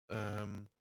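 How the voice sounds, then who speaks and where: A man's voice: on the low side, vocal fry, no room sound. A hesitant man speaking casually as a player in a tabletop roleplaying game, on a noisy microphone. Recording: very noisy mic with clicks.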